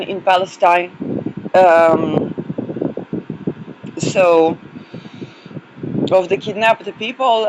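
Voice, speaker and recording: Hoarse woman rambling through a solo monologue. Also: medium pitch, dull audio, a little hiss or hum. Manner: hesitant, many fillers and restarts. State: losing her voice. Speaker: astrology podcast host.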